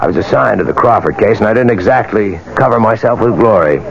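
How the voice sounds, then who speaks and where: A dull old radio recording with chatter behind it carries an earnest American detective in a 1970s radio play. His low voice swings widely in pitch as he presses his grim suspicions with deliberate, dramatic pauses. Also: expressive, gravelly. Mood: somber recounting. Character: earnest, obsessed male police detective confiding grim suspicions.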